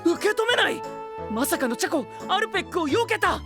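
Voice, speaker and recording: A man playing a flamboyant, pompous young gentleman knight in an anime audio drama, dropping English exclamations theatrically. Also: very high voice, sing-song, a little fast, over music. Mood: excited, dramatic.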